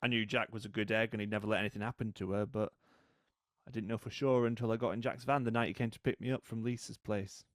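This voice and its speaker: A man's voice: lowish voice, steady tone, no echo, clean studio sound, natural voice. Actor playing a mocking, cold, vengeful British man. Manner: mocking, unhurried, goading. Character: taunting, cold, vengeful brother accused of violent crime. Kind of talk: audio drama acting.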